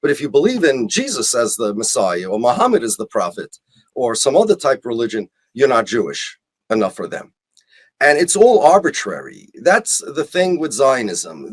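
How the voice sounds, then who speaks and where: Guy in an interview, raspy voice, pitch swings around a lot, really emphatic and argumentative. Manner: emphatic, rhetorical questions and repetition for stress. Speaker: rabbi, interview guest. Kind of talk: impassioned argumentative interview talk.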